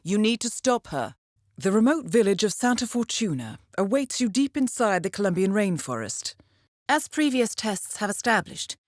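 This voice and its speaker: An actress playing a composed, dryly witty intelligence handler in a video game, with a formal, precise, understated way of briefing. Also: female voice, medium pitch, dry recording, studio quality. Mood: brisk, composed.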